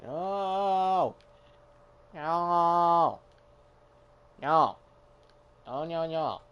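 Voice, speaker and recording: A man's voice: on the high side, very slow, a bit bright, clean tone, lo-fi sound. A young man streaming a game, chatting casually, swearing and mocking himself, now and then singing along. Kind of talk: casual gameplay chat.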